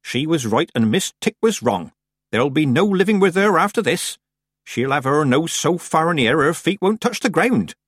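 A man voicing a jolly, earthy old village witch woman with a folksy, brisk manner in an audiobook. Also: on the high side, very animated, no echo, clean studio sound, pitched above the performer's own voice. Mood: brisk, amused.

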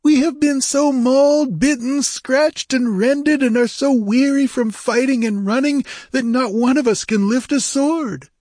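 A man's voice: very high-pitched, a little slow, few pauses, a little mellow, no room sound, pitched above the performer's own voice. A man voicing a battered, fearful pirate rogue in a fantasy audiobook, whining and pleading. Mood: whining, terrified. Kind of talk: dramatic fantasy audiobook character.

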